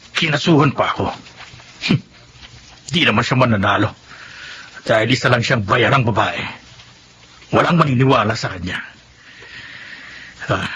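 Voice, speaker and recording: A man's voice: medium pitch, fairly bright tone, a little hiss or hum, natural voice. A man with a Filipino accent playing a defiant, sneering villain on the run in a radio drama.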